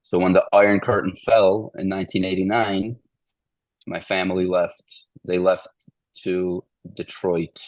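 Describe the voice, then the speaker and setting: Forties male guest from Ukraine; deep, even delivery with little movement, meandering with restarts. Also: a little slow, dry recording, low-quality recording. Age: 40s. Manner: meandering, restarts, repeats "the bottom line is". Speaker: interview guest. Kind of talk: casual interview storytelling.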